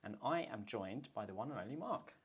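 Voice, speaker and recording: A man's voice: fairly low pitch, animated, a little fast, breathy edge. A man hosting a podcast, asking questions in a friendly, easy-going way. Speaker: podcast host. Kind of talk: casual interview chat.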